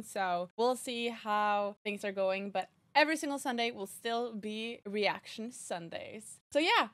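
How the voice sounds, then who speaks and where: A young woman from Norway whose first languages are Norwegian and Spanish, a chatty and excitable reaction host talking into a headset mic. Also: medium pitch. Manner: chatty, excitable, talks straight to the viewers. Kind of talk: casual movie reaction chat. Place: bedroom streaming setup.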